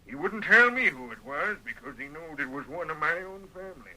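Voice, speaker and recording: An actor playing a crusty, bossy old rancher with an American Western accent, telling things in a folksy, cantankerous way in old-time radio style. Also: male voice, a little high, lively intonation, sharp tone. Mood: grim recollection. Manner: folksy, cantankerous, deliberate storytelling. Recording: thin, tinny old radio recording.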